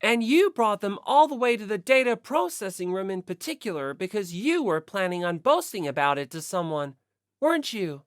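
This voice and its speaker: A woman's voice: medium pitch, sing-song, normal speed, dry recording, lightly acted. A cool, terse teenage girl detective voiced by a woman in an audiobook. Questions come out pointed and accusing, with restrained inflection and a dry, close sound. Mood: cold, accusing. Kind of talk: audiobook character acting.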